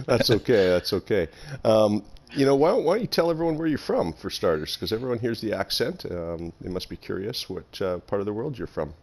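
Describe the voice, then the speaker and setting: A man hosting a casual interview, effusive and quick to giggle, with many ums. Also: on the low side, animated, a little mellow. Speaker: podcast host.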